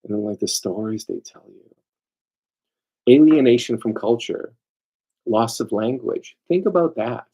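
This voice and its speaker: A man lectures informally in a mellow, raspy voice with plenty of fry. He speaks emphatically, drifting into asides and putting rhetorical questions to his listeners. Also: medium pitch, lively intonation, unhurried, long pauses, poor audio. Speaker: lawyer presenting a seminar.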